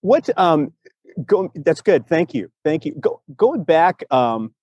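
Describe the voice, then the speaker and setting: Man, slightly high-pitched, earnest, emotive, casual storyteller, podcast host, dry close sound. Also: very animated, slow, darkish tone, no echo, lo-fi sound. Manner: earnest and emotive, acts out remembered dialogue. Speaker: podcast host and audio drama writer.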